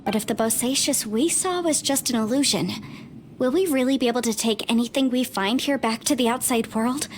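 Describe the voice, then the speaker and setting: A woman playing an earnest, quick-witted young adventurer in a video game, articulate and questioning, with faint ambience. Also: on the high side, slight background noise, close to an everyday voice. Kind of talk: video game cutscene acting.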